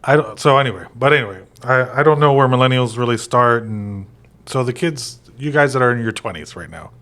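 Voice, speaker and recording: A man's voice: medium pitch, fairly quick. A man in his forties, co-hosting a real estate chat show, talking casually with lots of fillers. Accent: American. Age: 40s. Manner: relaxed, rambling with many fillers. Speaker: real estate podcast co-host.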